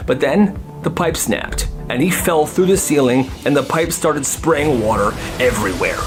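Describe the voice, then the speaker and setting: A man with a slightly high voice narrates funny anecdotes in a casual storyteller style. His pitch swings around in a very animated way, and he slips into the voices of the people he quotes. Instrumental music with guitar plays clearly behind him. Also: normal speed. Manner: acts out quoted characters' lines. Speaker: storyteller for short comedy videos.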